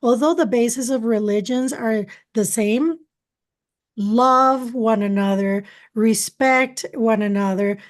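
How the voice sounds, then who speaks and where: A middle-aged woman from Costa Rica whose first language is Spanish, telling her life story earnestly as an interview guest. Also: on the high side. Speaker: guest, spiritual channeler. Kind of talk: interview answers, personal story.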